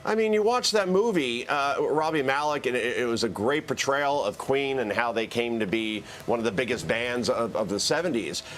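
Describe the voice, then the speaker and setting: A man's voice: medium pitch, moderate pace, few pauses, a bit bright, a little hiss or hum. An American man giving emphatic political commentary as a guest on live television, building lists and rhetorical questions.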